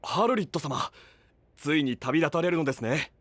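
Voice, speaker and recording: A man's voice: on the high side, animated, normal speed, a bit bright, a little hiss or hum. An actor playing a devoted male attendant in an anime-style voice drama, formal and respectful. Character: polite, devoted male attendant to a young knight.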